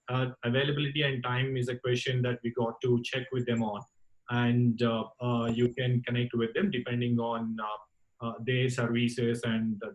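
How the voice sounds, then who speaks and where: A man hosting an online call-in talk session, speaking in a courteous, formal and halting way. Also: mid-range voice, poor audio. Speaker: host of a campus radio talk session. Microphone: online group call.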